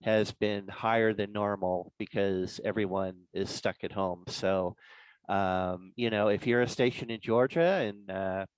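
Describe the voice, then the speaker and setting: A man giving an informal, explanatory presentation as a guest speaker on an online call, in a halting way. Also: fairly low pitch, steady tone, dry recording, poor audio. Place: online club meeting.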